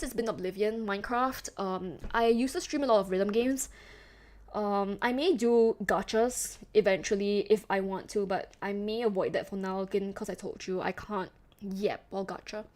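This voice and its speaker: A young woman from Singapore with a softened Singaporean accent, chatting casually with her stream chat, rambling with lots of fillers and laughs. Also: mid-range voice. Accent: Singaporean, softened toward Western English.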